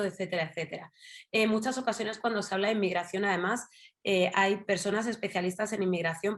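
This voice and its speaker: A woman from Spain with a Madrid accent speaks as a guest in an online discussion. She thinks aloud and drifts into asides, with many fillers and tag questions. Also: medium pitch. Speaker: journalist guest. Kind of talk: casual talk in an online panel.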